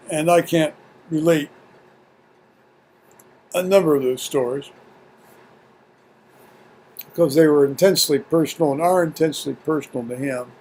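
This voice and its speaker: An American man video blogging at home in a halting, folksy, rambling way, muttering to himself and reading to viewers. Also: a little high, darkish tone. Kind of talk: rambling casual chat with scripture reading. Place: at home, indoors.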